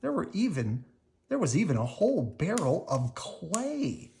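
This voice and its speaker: A woman reading a children's storybook aloud in an animated, unhurried way for young listeners. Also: sits low, creaky, a little hiss or hum. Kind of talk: expressive read-aloud storytelling.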